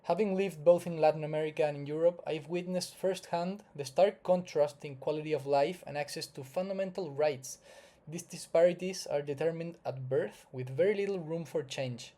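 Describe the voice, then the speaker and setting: A man from Chile with a Chilean Spanish accent, presenting a scripted explainer as a consultant in formal, measured, earnest phrasing. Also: a little high, mellow. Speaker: investment migration consultant presenting. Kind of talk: scripted explainer narration to viewers.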